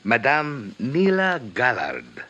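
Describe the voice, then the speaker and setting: A man playing a formal, courteous carpet merchant in a 1950s radio drama, with deliberate, theatrical phrasing. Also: mid-range voice, huge pitch swings, bright, forceful tone, highs cut off, exaggerated voice. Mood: solemn, guarded. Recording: noisy 1950s radio recording.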